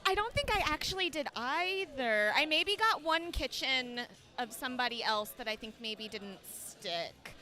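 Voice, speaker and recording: A woman's voice: sits high, animated, measured pace, few pauses, sharp tone, faint music behind. A woman co-commentating a tournament livestream in an upbeat, chatty way. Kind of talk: casual sports commentary.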